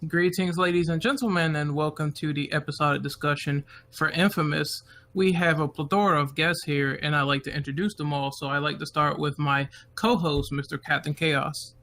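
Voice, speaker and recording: A man hosts a casual group podcast with an upbeat manner, calling on each guest in turn. His voice sits a little high and sounds bright. There is a faint hum of ambience behind him. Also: fairly even intonation, normal speed, few pauses. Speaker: podcast host.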